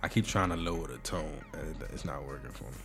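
A man's voice: very deep voice, fast talker, vocal fry, a bit bright, faint music behind. A man with a cold and a nasal voice hosting a casual podcast, joking and bantering. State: sick, nasal. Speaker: podcast host. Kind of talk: casual chat.

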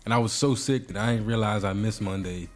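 A man's voice: on the low side, fairly quick, faint music behind. A man with a cold and a nasal voice hosting a casual podcast, joking and bantering. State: sick, nasal. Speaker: podcast host. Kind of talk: casual chat.

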